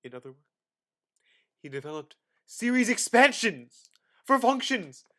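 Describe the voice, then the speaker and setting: A man's voice: extremely high pitch, big pitch swings, measured pace. A young man giving a dramatic reading of an encyclopedia article, with theatrical, exaggerated emphasis. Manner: theatrical, exaggerated emphasis with sudden loud and hushed phrases. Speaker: student reader.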